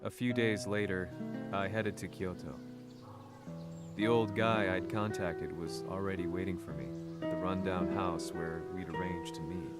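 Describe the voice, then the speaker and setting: A man's voice: low voice, fairly even intonation, normal speed, over loud music, lightly acted. An actor playing a grave investigator, narrating his story in a sombre, matter-of-fact way for a video game. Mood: brooding. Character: grave, brooding male investigator recounting his search. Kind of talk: scripted cutscene narration.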